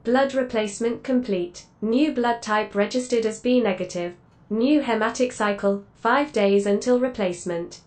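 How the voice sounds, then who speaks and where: Woman doing a sci-fi med machine voice, clipped n impassive, highish, slight noise. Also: normal speed, a little mellow, lightly acted. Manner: clipped, procedural announcing. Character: impassive female medical machine announcer.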